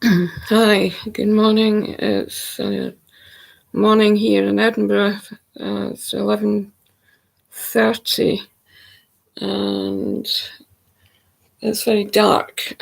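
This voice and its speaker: Slow, croaky-voiced woman with heavy fry. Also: mid-range voice. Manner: soft, hesitant, many pauses and fillers. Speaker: spiritual channel. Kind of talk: slow reflective monologue. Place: home.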